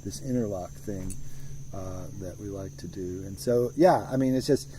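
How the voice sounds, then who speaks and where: A man's voice: deep voice, moderate pace. A man being interviewed about his music, talking in a thoughtful, rambling way with many restarts, recorded outdoors in the countryside. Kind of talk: relaxed conversational interview. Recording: faint outdoor noise with crickets.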